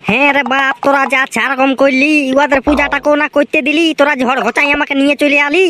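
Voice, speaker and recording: A man voicing a grumbling, put-upon village priest in a comic cartoon, with a rustic Purulia Bengali accent and a pitched-up voice. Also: flat delivery, fast talker, sharp tone. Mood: scolding. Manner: scolding, rustic, exclamatory. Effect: pitch-shifted up. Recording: clearly noisy track with clicks.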